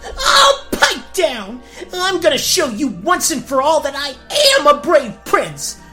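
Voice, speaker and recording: Woman acting a cowardly, excitable young prince. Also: high voice, slow, soft background music, pitched above the performer's own voice, full character voice. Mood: indignant. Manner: hesitant, stammering, indignant outbursts. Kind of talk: gag-dub cartoon radio play acting.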